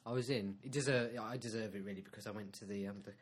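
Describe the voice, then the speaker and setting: A man co-hosting a comedy podcast, dropping dry, deadpan one-liners. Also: lowish voice, rapid-fire, slightly rough. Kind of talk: dry comic chat.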